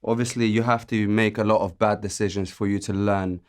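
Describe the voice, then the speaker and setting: A man in his late twenties, originally from Azerbaijan, chatting casually as a podcast guest, laid-back and laughing often, with many pauses and ums. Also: lowish voice, fairly even intonation. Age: 20s. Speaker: podcast guest, music producer. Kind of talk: casual interview chat.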